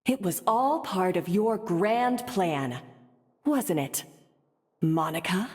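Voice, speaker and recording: A woman's voice: fairly low pitch, animated, slow pace, darkish tone. An actress playing a proud, accusing woman who has been betrayed, in dramatic game dialogue. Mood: bitter accusation. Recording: long reverb, faint music.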